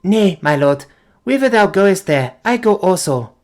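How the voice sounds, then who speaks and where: A man voicing a timid, devoted young woman who speaks softly, for an audiobook. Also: high-pitched, animated, measured pace, voice pushed up. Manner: timid, soft.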